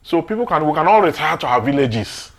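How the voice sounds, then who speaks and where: A man's voice: fairly high pitch, very animated, brisk, crowd noise. A man from Nigeria with a Nigerian accent, discussing current affairs emphatically and repeating phrases for stress. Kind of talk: opinionated discussion.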